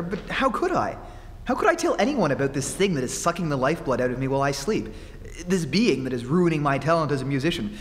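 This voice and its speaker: A man acting a tormented concert pianist in an old-time radio horror play, overwrought and halting, on stage in a reverberant hall. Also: on the high side, close to an everyday voice. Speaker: amateur stage actor. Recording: noisy live theatre hall, long reverb.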